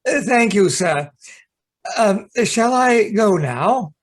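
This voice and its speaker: A performer playing a hesitant, obedient servant with a halting manner in a radio drama. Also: medium pitch, lively intonation, a little hiss or hum. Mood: meek, hesitant. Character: hesitant, deferential servant or assistant.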